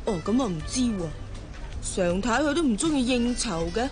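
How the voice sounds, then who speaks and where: A woman acts out a young Hong Kong office gossip in a radio drama. Her fairly high voice is chatty and exclamatory, stretching words out, with music clearly playing behind. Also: animated, normal speed, natural voice. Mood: gossipy. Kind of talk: Cantonese radio drama acting.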